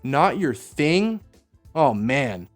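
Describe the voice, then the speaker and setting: A man playing a goofy, excitable young half-elf receptionist in an audio fantasy drama, chummy and slangy. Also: mid-range voice, extremely slow, faint music behind, full character voice. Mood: awed, envious.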